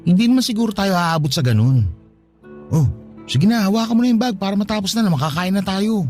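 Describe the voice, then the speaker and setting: A cautious young Filipino boyfriend in a radio drama. He talks fast in a lively, slightly high voice, anxious yet indulgent, with faint music behind. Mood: nervous. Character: nervous, devoted young boyfriend, thrifty and cautious.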